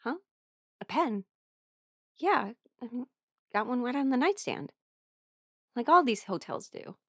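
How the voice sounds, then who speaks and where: Young female musician, friendly and playful, halting conversational delivery for intimate audio roleplay, dry sound played by a woman. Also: fairly high pitch, animated, sharp tone, slightly rough, no room sound, heavily acted. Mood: casual, chatty. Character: friendly, teasing young woman musician, tired bandmate on tour.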